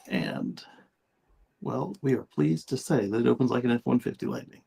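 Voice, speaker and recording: A man with a creaky, fry-laden voice and average pitch gives casual commentary as a co-host. His delivery is conversational and somewhat halting, as if thinking aloud. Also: mellow. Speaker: show co-host.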